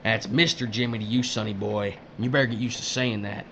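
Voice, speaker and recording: A man's voice: lowish voice, steady tone, fairly quick, fairly bright tone, a little hiss or hum. A man playing a crude, cynical older worker who strips corpses, needling and folksy, in an audio drama. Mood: defensive, gruff.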